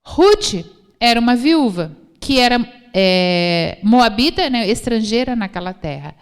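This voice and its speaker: A woman's voice: on the high side, expressive, unhurried, clean tone. A woman with a Brazilian Portuguese accent preaching a church sermon, explaining scripture didactically and repeating phrases for emphasis. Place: church hall, speaking to a congregation. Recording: reverberant hall sound.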